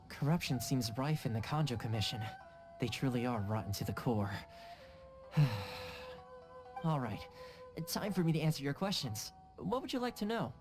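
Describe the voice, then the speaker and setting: An actor playing an affable, easygoing young clan fixer in a video game, smooth-talking and teasing. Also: male voice, mid-range voice, lightly acted. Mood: confiding. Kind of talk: English video game dub acting.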